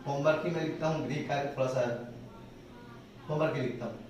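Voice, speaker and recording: Male teacher with a Hindi accent, slightly high voice, recorded from far off in an echoey room. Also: a little mellow, a little hiss or hum. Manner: explains slowly, repeats phrases word by word. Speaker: spoken English teacher. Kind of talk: classroom grammar lesson.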